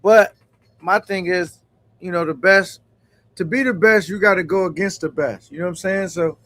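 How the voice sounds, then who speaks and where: A man's voice: high-pitched, moderate pace, fairly bright tone. A man hosting a casual live stream who hypes his guests and reads out chat comments. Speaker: stream host.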